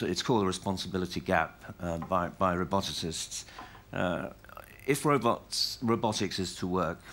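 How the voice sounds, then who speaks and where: A middle-aged man on a conference panel, explaining his point in a measured way. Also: deep voice, fairly bright tone. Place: conference hall stage.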